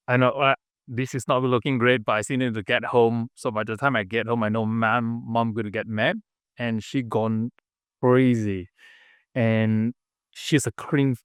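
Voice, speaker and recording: A man from Malaysia talks through his story as a podcast guest, earnest and unhurried, often pausing on fillers and starting sentences over. His voice is smooth and clear, at an ordinary middle pitch. It is recorded dry and close, with no room sound. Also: fairly even intonation, no room sound. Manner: earnest, halting, with many fillers and restarts. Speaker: podcast guest, business founder. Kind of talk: casual interview storytelling.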